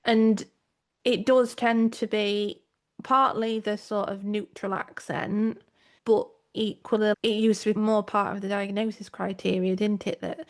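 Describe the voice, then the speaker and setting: A woman's voice: mid-range voice, fairly even intonation, a little slow. A woman, co-hosting a casual podcast and telling stories in a reflective way, often with tag questions. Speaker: podcast co-host.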